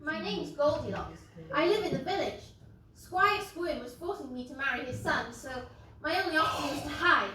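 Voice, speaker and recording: A woman's voice: high voice, very animated, moderate pace, bright, forceful tone, a little hiss or hum, full character voice. A woman playing a timid, earnest young village girl in a stage pantomime. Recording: very echoey hall from far off.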